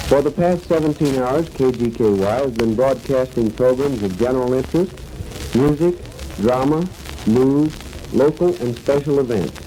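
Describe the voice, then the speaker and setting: A man's voice: mid-range voice, mellow, poor audio. A man working as a local radio announcer, reading a formal, scripted old-time station sign-off. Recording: faint background noise.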